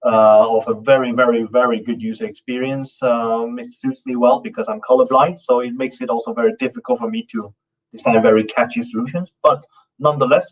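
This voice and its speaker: A man giving a conference talk as a company founder, speaking loosely with many fillers and restarts. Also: medium pitch. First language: not Norwegian. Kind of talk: conference presentation. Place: conference stage. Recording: dull, muffled sound from a distant mic.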